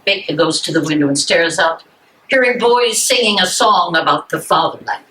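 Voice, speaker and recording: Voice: medium pitch, lively intonation, normal speed, pushed and bright, slight background noise, rough recording. A narrator reading out scripted stage directions at a live dramatic reading.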